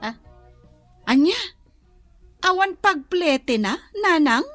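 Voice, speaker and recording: A high, slow-talking, chiding older sister. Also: female voice, expressive, fairly bright tone, no room sound, faint music behind, exaggerated voice. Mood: plaintive, chiding. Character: caring, thrifty older sister, fretting about money. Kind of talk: Ilocano radio drama acting.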